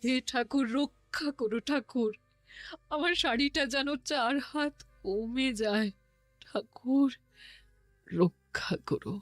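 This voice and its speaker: A woman's voice: sits high, takes it slowly, no echo, soft background music. A woman voicing a dramatic, pleading queen in a folk-tale cartoon, theatrical and coaxing. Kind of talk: cartoon voice acting.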